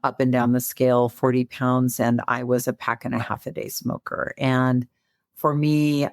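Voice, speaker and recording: A woman with a slightly raspy voice from a cold, chatting as a podcast guest in a friendly way. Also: extremely low pitch, flat delivery, moderate pace, no room sound, clean studio sound. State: getting over a cold. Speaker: podcast guest, clinical hypnotist. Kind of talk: casual interview chat.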